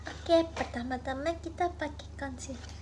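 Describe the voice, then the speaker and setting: A woman in her twenties chatting playfully on a late-night livestream from her bedroom, talking into a phone held at arm's length, sometimes dropping to soft murmurs. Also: high voice, normal speed, very noisy. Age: 20s. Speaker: idol livestreamer.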